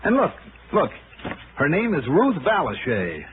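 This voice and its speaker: Dogged American male investigator, wry and brisk, lively mid-range delivery, narrow old-radio sound. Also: a little high, a little hiss or hum. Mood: stubborn, excited. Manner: brisk, wry, hard-boiled. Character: dogged, wisecracking male insurance investigator narrating his case. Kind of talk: 1960s American radio detective drama acting. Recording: band-limited old radio recording with music.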